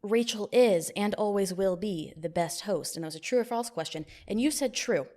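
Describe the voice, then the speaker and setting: A young woman hosting a podcast, running a mock job interview in a deadpan, playfully bossy way. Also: medium pitch, normal speed. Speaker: podcast host. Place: new studio, not fully set up.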